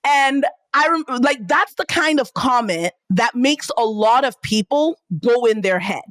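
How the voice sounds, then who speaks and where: Animated Nigerian-accented woman. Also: measured pace, no room sound. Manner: animated, acts out quotes with big pitch jumps. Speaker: podcast guest, entrepreneur and speaker. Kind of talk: storytelling in casual interview.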